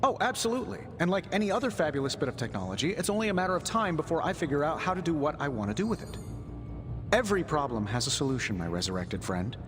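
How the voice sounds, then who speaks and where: A cocky genius inventor from a superhero video game. His voice is fairly high and very animated, full of glib, teasing sarcasm. It rings in a long reverb. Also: quick pace, music in the background. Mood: confident, playful. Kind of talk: video game cutscene acting.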